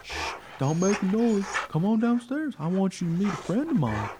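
A man's voice: high voice, big pitch swings, normal speed, background noise. An actor playing an eager, trusting young dog who tells his own story in a radio play with innocent earnestness. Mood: eager, excited. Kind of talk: first-person radio play narration.

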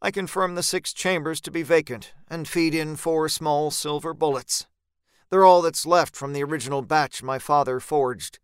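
A man narrating a first-person horror story in a measured, tense, dramatic audiobook style, recorded close in a dry space. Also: a little high, steady tone, clear, resonant tone, no echo.

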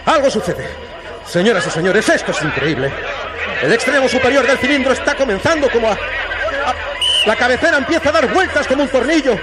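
An actor playing an excited news reporter in a radio drama, describing events dramatically. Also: male voice, extremely high pitch, fairly quick, long pauses, over a noisy crowd. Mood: alarmed awe. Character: excited male radio reporter narrating a strange cylinder landing. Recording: music behind.

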